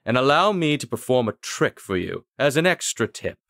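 A man voicing an elegant, mysterious stage magician in an audiobook, theatrical, measured and courteous. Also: medium pitch, very animated, creaky, a bit bright, no room sound, studio quality, pitched above the performer's own voice. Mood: courtly, mysterious.

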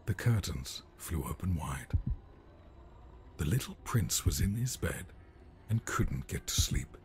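A man's voice: deep voice, sing-song, measured pace, a little mellow, slight background noise. A man reading a rhyming bedtime story for a horror game in a theatrical, ominous manner. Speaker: storybook narrator.

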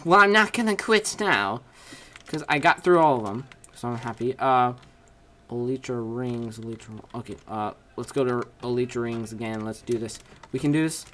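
A teenage boy commentating his own game play at home, reading signs aloud and yelping loudly at his wins and deaths, his voice going hoarse. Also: medium pitch, moderate pace, a little hiss or hum. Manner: shouts and squeals when failing or winning, reads signs aloud. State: losing his voice. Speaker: gaming video creator. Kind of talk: excited gameplay commentary. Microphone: desk mic with webcam.

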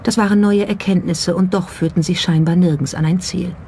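A narrator reading a scripted documentary in a measured storytelling way, often posing rhetorical questions. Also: mid-range voice, fairly even intonation, dark tone, slight background noise. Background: background music.

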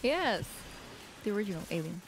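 A woman's voice: medium pitch, big pitch swings, brisk. A young adult woman from the Netherlands with a Dutch accent, playfully chatting and laughing often while co-hosting a game stream from a small stream room. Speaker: community manager co-host of a game stream. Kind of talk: casual chat while playing a game. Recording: noticeable background noise.

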